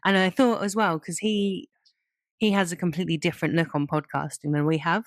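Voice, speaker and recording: Young woman, lower-pitched voice, informal podcast chat, chatty, dry close recording. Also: fairly even intonation, a little fast, dry recording. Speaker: podcast co-host. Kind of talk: casual chat.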